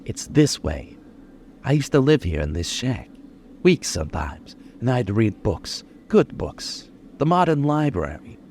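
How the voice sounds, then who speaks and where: An actor playing a lonely, menacing killer in a 1940s-style radio suspense drama, talking in slow, colloquial tough-guy speech. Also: mid-range voice, very animated, a little mellow, slight background noise. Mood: brooding, wistful.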